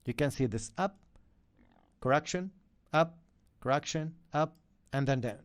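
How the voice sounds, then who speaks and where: A man's voice: a little high, measured pace. A man whose first language is Arabic, teaching a live-stream trading lesson with lots of energy and checking in with viewers as he goes. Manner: energetic, repeats "okay?" and "yeah?" to viewers. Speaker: trading coach.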